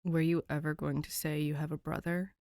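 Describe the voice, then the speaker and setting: A woman voicing a soft-spoken, cryptic young man who knows things, in a fan fiction reading. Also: sits low, little pitch movement, fairly quick, vocal fry, no room sound, studio quality. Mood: quiet, knowing. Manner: quiet, cryptic.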